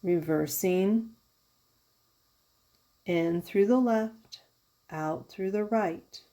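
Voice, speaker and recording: A woman leading a guided yoga nidra meditation as a teacher, giving soothing, unhurried instructions with pauses. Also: mid-range voice, dark tone. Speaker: yoga therapist guiding a meditation.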